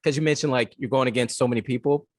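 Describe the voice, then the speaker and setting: A man co-hosts a relaxed conversation, rattling off thoughts quickly with lots of fillers. The sound is dry and close. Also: mid-range voice, dry recording. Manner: fast, loose, full of fillers. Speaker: podcast co-host. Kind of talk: casual interview chat.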